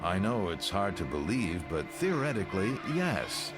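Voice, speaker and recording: A man's voice: low voice, very animated, normal speed, over music. A man playing an earnest, loyal follower in a motion comic, polite but questioning. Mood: earnest, respectful. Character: earnest, loyal young man challenging his mentor.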